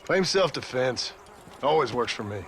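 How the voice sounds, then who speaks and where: Terse Old West gunslinger. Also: male voice, mid-range voice, lively intonation, moderate pace, a bit bright, slight background noise. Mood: laconic. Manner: terse, laconic. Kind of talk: video game cutscene acting.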